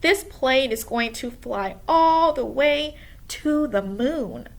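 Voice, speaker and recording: Woman voicing an earnest, slow-spoken schoolboy. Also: high voice, expressive, pitched above the performer's own voice, exaggerated voice. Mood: awed, determined. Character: earnest schoolboy, a dejected dreamer among outcasts.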